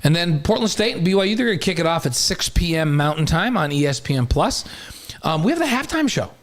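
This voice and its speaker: Male sports co-host, American accent, slightly high voice, rapid-fire casual chat. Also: very animated.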